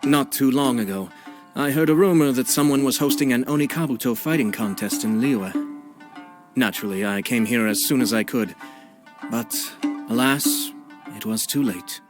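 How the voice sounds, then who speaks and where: A man voicing a politely amused young nobleman with measured, genteel phrasing in an anime fantasy game. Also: mid-range voice, over music, natural voice. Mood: polite, composed. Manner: measured, genteel, formal wording.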